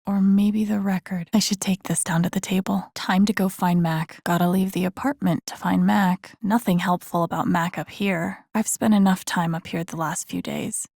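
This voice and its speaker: Creaky-voiced, introspective young woman. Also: fairly even intonation, normal speed, hardly any pauses, darkish tone, dry recording, clean studio sound. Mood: quietly determined. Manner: low-key, introspective, muttering to herself. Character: grieving, wry, determined young woman in a drama game. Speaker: video game voice actress. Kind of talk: naturalistic game character lines, inner-monologue asides.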